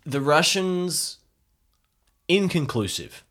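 A man's voice: a little high, lively intonation, slow pace, fairly bright tone. A man playing a wry, easily exasperated local man in an improvised audio drama.

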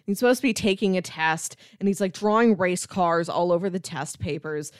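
A woman's voice: on the low side, brisk, clear, resonant tone, no echo. Emphatic woman podcast host, dry close sound. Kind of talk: casual film discussion.

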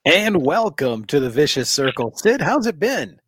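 A man hosting a casual podcast chat, upbeat and quick to laugh, reading out listener messages. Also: a little high, sing-song, fairly bright tone, breathy edge, dry recording, studio quality. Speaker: podcast host.

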